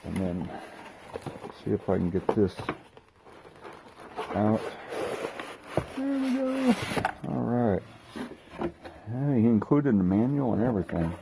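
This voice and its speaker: A man hosting a casual unboxing video, talking haltingly as he thinks aloud while handling gear. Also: lowish voice, sing-song, gravelly, background noise. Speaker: host of a hobby unboxing video. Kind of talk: casual unscripted product walkthrough.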